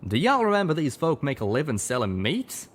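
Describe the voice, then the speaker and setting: Man doing a spirited cowboy pegasus, raised pitch. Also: wildly swooping pitch, fairly quick. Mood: hushed urgency. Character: plain-spoken cowboy pegasus stallion, spirited, loyal, sensible.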